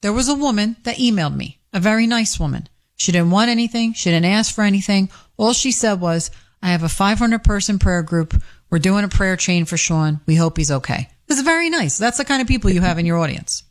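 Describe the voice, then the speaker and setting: A woman's voice: medium pitch, expressive, a little fast. A woman who produces a radio show, talking casually into a studio mic. She is chatty and teasing, cutting in over the host with easy banter.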